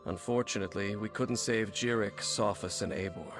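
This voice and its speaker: An actor voicing a sober, dutiful commander giving a measured, formal, serious quest briefing in a video game. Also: male voice, on the low side, a bit bright, lightly acted. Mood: grave, concerned. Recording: game dialogue over background music.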